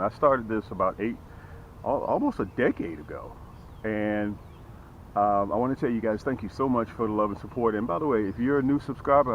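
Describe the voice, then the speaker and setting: A middle-aged man hosting a fishing vlog from a small boat on a lake, talking energetically to camera and often adding "okay?" and "boom". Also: lo-fi sound, slight background noise. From Atlanta, Georgia area. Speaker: fishing channel host. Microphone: camera close on a boat.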